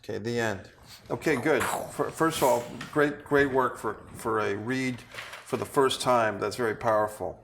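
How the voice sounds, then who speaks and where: A man directing actors, giving feedback in deliberate, hesitant phrases in a studio. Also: medium pitch, vocal fry, noisy background. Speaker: film director. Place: indoor studio.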